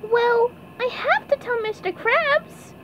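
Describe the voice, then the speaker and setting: Childlike, bubbly male cartoon sponge played by a woman, very high and excitable, muffled recording with clear noise. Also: takes it slowly. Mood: earnest. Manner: excitable, exclamatory. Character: eager, childlike, bubbly male sea sponge fry cook.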